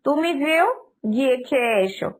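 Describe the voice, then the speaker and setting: Woman playing older mother-in-law, high-pitched, fussy, stubborn, firm and explanatory, cartoon story dialogue. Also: normal speed, close to an everyday voice. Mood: firm, dismissive.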